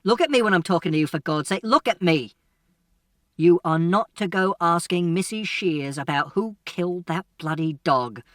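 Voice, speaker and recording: A man's voice: a little high, lively intonation, moderate pace, no room sound, studio quality, recorded from a distance, natural voice. A man doing a stern, furious father. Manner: angry, commanding, clipped. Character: stern exasperated father scolding his son.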